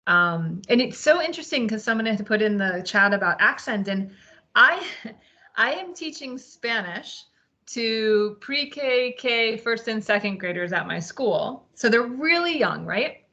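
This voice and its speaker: Bright chatty woman with a French accent. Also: a little high. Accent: French-speaking. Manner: animated, quick, chatty with many likes. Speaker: teacher and webinar participant. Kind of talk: casual discussion. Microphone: video call. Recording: muffled lo-fi video call audio.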